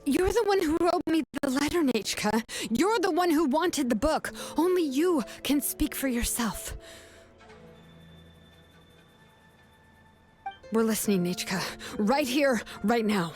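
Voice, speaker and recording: Young game heroine, high lively voice, straight to the point and keeps probing, soft music behind. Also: female voice, moderate pace, hardly any pauses. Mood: insistent. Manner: probing, matter-of-fact. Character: frank, persistent young woman, protective family friend. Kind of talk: video game voice acting.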